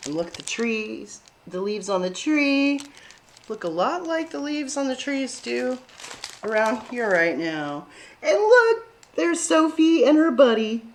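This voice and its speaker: A woman's voice: a little high, big pitch swings, measured pace, slight background noise. A woman hosting a children's story time, talking and reading aloud expressively to young listeners.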